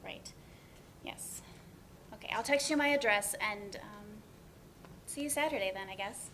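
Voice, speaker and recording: A woman's voice: mid-range voice, unhurried, bright, forceful tone, a little hiss or hum. A woman playing an earnest young professional in a dramatic reading of a stage play, speaking conversationally with small hesitations. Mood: flustered. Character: Kat, earnest, apologetic young professional woman, teasing friend.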